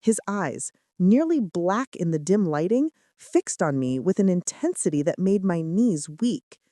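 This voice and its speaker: A woman's voice: fairly low pitch, sing-song, a little slow, dark tone, dry recording, studio quality. A woman reading scripted first-person romance fiction as an audiobook narrator, with dramatic, suspenseful delivery.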